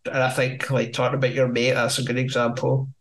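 A man's voice: mid-range voice, completely flat delivery, a little fast. A man with a Scottish accent hosting a podcast, easygoing and chatty as he agrees and adds his own points. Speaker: podcast host. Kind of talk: casual podcast chat.

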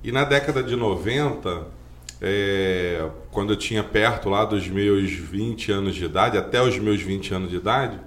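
A man's voice: on the low side, measured pace, a bit bright. A man, a podcast guest telling his life story in a rambling, earnest way with lots of filler tags. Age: 40s. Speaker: guest, driving school owner. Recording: roomy podcast room.

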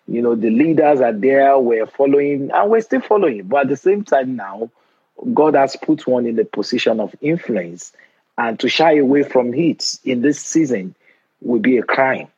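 Earnest Nigerian man, slightly husky. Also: medium pitch, expressive, dark tone, no room sound, muffled recording. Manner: earnest, measured, with frequent restarts. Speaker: host. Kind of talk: conversational interview.

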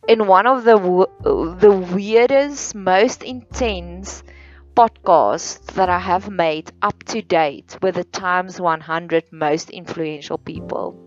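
A woman's voice: lowish voice, slow. A woman from South Africa, a native Afrikaans speaker with a South African accent, delivering a careful solo podcast monologue with occasional restarts. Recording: dull lo-fi sound with faint music.

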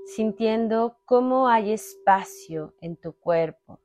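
A woman narrating a guided meditation in a calm, instructional manner with long pauses between short phrases. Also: fairly high pitch. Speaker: meditation guide, podcast host.

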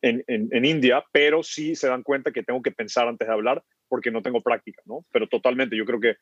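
A man's voice: medium pitch, fairly even intonation, fairly quick, slightly rough, no room sound. A man from Ecuador, a startup founder, talking casually as a podcast guest, often repeating words as he thinks. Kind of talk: casual interview conversation. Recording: dull muffled sound, dry.

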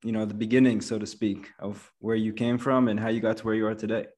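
A man's voice: lowish voice, level tone, fast talker, a little mellow. A man hosting a podcast, welcoming his guest and asking about his background in a casual, friendly way with frequent fillers. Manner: friendly, uses many fillers like "you know". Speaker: podcast host. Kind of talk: conversational interview.

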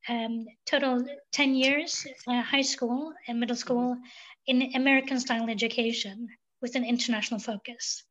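A high-pitched woman from Sweden speaks as a podcast guest, choosing her words with care and pausing often on fillers. The sound is somewhat dull and lo-fi. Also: steady tone, a bit bright. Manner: thoughtful, many um fillers. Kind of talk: reflective interview talk.

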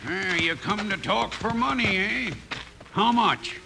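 An actor playing a menacing cattle rustler with a gruff, hostile manner and an American Western accent in a radio western. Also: male voice, sits high, slow, music in the background, heavily acted. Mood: angry. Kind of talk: 1950s radio western acting. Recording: faintly noisy vintage radio recording.

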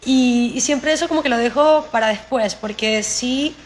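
A woman's voice: on the high side, moderate pace, a little hiss or hum. A woman from Venezuela with a Venezuelan accent tinged with Mexican, chatting as a podcast guest in an upbeat, colloquial way. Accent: Venezuelan Spanish with a Mexican touch. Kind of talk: casual interview chat.

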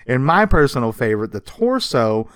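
A man co-hosting a casual, comedic history podcast, telling stories from notes in an animated way with casual swearing. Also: medium pitch, moderate pace, clear, resonant tone, dry recording, clean studio sound. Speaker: podcast co-host.

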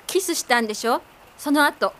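A woman's voice: high-pitched, lively intonation, moderate pace, fairly bright tone. An actress playing a curt, aloof woman writer in a radio drama, speaking in clipped, terse phrases. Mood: curt, guarded.

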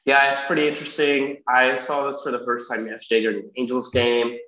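A man's voice: mid-range voice, little pitch movement, a little fast, a little hiss or hum. A young man with a congested, nasal voice, co-hosting a sports podcast in a casual chatty way, with frequent ums and restarts. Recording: echoey room, dull lo-fi sound.

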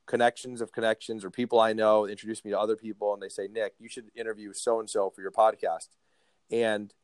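A man's voice: fairly low pitch, a little mellow, clear, resonant tone, no room sound. A man hosting a podcast interview, talking casually and often restarting and repeating words. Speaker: podcast host.

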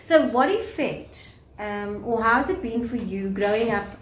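A woman's voice: a little high, animated, unhurried. A middle-aged woman with a South African accent, interviewing conversationally as a podcast host. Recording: echoey room, faint background noise.

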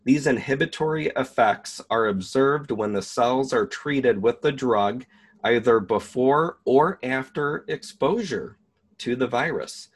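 A man hosting an online video, reading a document aloud and commenting in a deliberate, emphatic way. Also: medium pitch, slightly rough.

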